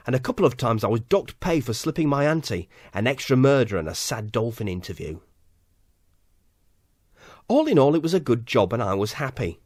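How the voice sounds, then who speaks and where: A man's voice: medium pitch, dry recording. A man from Halifax telling an absurd comic story in a dry, mock-serious way. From Halifax, West Yorkshire. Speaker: podcast host. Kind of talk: scripted absurd comic narration.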